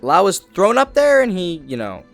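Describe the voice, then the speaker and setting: A man's voice: high voice, wildly swooping pitch, moderate pace, gravelly, soft background music. A young man hosting a comedic movie review, crude and mocking. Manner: crude, mocking, laughs often. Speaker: host.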